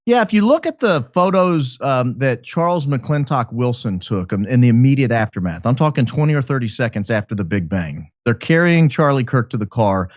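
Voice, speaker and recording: A man speaking as a podcast guest, giving speculative commentary in an emphatic, deliberate way. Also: mid-range voice, very animated, dry recording, studio quality. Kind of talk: speculative commentary in a talk show.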